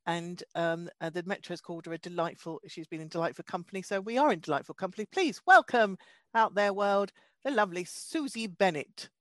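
A woman's voice: fairly low pitch, a little fast, no room sound. A woman comedian co-hosting a chat show, talking chattily with easy laughter and stumbling restarts. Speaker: comedian and co-host. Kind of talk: casual chat and guest introductions.

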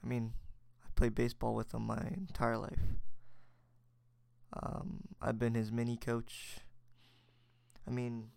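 Young guy rambling on his podcast, kinda gravelly, dry close sound. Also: mid-range voice, completely flat delivery, slow, long pauses, a little mellow, no echo. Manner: rambling, many fillers and restarts, reflective. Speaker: podcast host. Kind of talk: solo casual chat.

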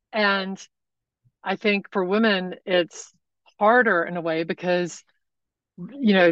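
Woman, lowish voice, wardrobe consultant, thoughtful, pauses to think, dull dry sound. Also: no echo. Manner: thoughtful, measured explanations with pauses. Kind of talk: podcast interview answers.

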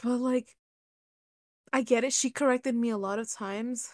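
Lively woman in casual chat. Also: a little high, a little slow, no room sound, studio quality. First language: Spanish. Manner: animated, laughs often, switches into Spanish. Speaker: podcast co-host.

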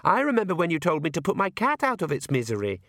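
A man's voice: a little high, huge pitch swings, fast, a bit bright, no room sound, clean studio sound, pitched above the performer's own voice, full character voice. A man voicing a bratty, cruel teenage vampire daughter in a storybook, sulky and scornful. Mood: impatient, cruel.

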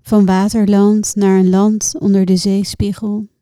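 Slow, very mellow woman reciting poetry. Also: mid-range voice, flat delivery, no room sound, clean studio sound. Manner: measured, contemplative delivery with deliberate pauses. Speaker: poet reciting own poems.

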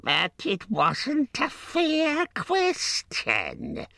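A man voicing a wretched, spiteful cave creature for a storybook, with a strangled, hissing voice and drawn-out, repetitive muttering. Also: very high-pitched, wildly swooping pitch, gravelly, sharp tone, dry recording, studio quality, pitched above the performer's own voice, full character voice. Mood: suspicious, hissing. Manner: drawn-out, repetitive, muttering to himself in odd grammar.

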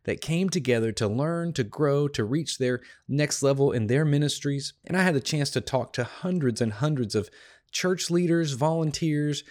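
A slightly hoarse, tired man hosting a devotional podcast, teaching a Bible passage in an earnest, encouraging way with emphatic repetition. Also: fairly high pitch, expressive, moderate pace, darkish tone. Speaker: podcast host.

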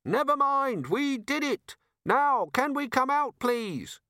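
A desperate crook, played by a male audiobook reader, pitched well up and swooping about. He whines and pleads frantically. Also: very animated, slow, fairly bright tone, no room sound, clean studio sound, exaggerated voice. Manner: whining, pleading. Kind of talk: audiobook character voice.

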